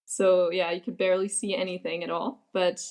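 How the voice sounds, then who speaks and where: A young woman from Germany, a podcast guest answering in a relaxed way, laughing easily and using many fillers. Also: lowish voice, fairly quick. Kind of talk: casual interview answers.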